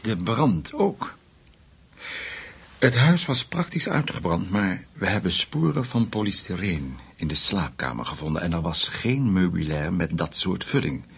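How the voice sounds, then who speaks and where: An actor playing a measured, discreet senior German investigator in a Dutch radio play, speaking in a deliberate, formal way. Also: male voice, low voice, big pitch swings, creaky, husky, exaggerated voice. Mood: measured, confiding.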